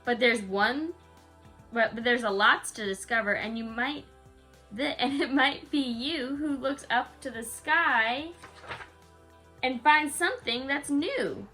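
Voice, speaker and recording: A woman's voice: high voice, takes it slowly, bright, forceful tone, faint music behind. A woman reading a rhyming bedtime story aloud to a young child, in a sing-song way with casual asides.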